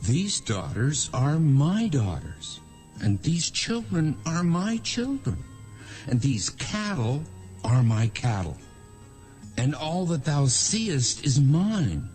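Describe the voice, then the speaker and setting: An actor playing a stern, possessive patriarch in a dramatized scripture reading, solemn and declamatory. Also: male voice, fairly high pitch, darkish tone. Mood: possessive, resigned. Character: stern, possessive patriarch, a father-in-law accusing and warning.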